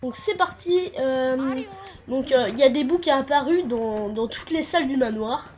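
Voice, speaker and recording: High, nasal woman with a cold. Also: normal speed, darkish tone, highs cut off. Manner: hesitant, many fillers and restarts. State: stuffy nose from a cold. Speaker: gameplay commentator. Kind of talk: casual walkthrough commentary.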